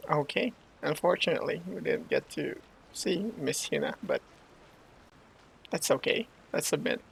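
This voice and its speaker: A man with a gravelly voice giving casual reactions as he streams his video game playthrough. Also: a little high, animated, unhurried, slight background noise, poor audio. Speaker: gaming streamer.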